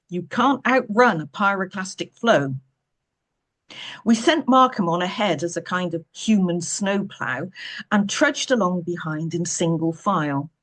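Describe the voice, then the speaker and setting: A woman's voice: lowish voice, slightly rough. A woman reading a first-person story aloud in a dry, wry, understated way. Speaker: author reading own novel aloud.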